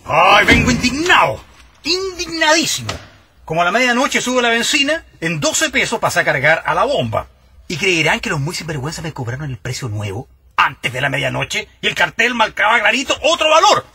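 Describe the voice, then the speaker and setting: A man's voice: high-pitched, big pitch swings, normal speed, bright, forceful tone, heavily acted. An actor playing a pompous, easily indignant family father with a Chilean accent, blustering and declaiming in a radio comedy. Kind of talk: broadcast radio comedy acting.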